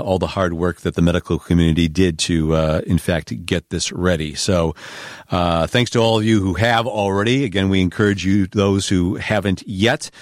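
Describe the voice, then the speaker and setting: A man hosting a sports podcast, wrapping up the show and promoting the next episode in a measured, announcer-like way. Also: deep voice, no room sound, studio quality. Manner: measured, announcer-like wrap-ups. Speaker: podcast host.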